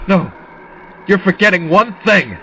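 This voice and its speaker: A man's voice: sits high, big pitch swings, unhurried, noisy background. A man playing an earnest, desperate survivor hunting a killer in an audio drama, dramatically thinking aloud. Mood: urgent, tense.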